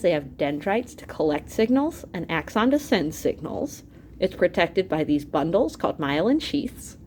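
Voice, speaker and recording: Expressive woman reading a science book aloud. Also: fairly low pitch, slight background noise. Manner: explanatory, expressive, pausing to clarify terms. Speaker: teacher reading aloud to students. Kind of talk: educational read-aloud of an illustrated science book.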